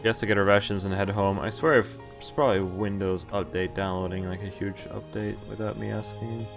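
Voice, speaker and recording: A man streaming a visual novel, reading it aloud and commenting casually in a halting way with offhand swearing. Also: fairly low pitch, steady tone, vocal fry, soft background music. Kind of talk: casual game walkthrough with read-aloud narration.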